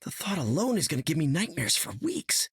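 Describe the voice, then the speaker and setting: A quippy, high-voiced web-slinger. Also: male voice, very animated, fairly quick, husky, dry recording, studio quality, full character voice. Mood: playful. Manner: quippy, playful banter. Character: joking young male web-slinging hero. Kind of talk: video game voice acting.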